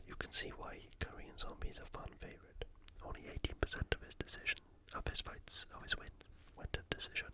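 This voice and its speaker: An ASMR host, speaking entirely in a breathy whisper in a casual, conversational commentary style. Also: poor audio. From United Kingdom. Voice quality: whispered, unvoiced. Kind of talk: ASMR fight preview commentary.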